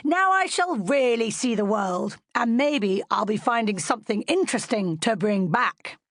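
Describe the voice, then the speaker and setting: A woman narrator acts an eccentric elderly British gentleman from a storybook. He is hearty, adventurous and old-fashioned, and the sound is dry. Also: on the high side, animated, unhurried, no echo. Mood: eager. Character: eccentric elderly gentleman, adventurous fossil-collecting great-uncle.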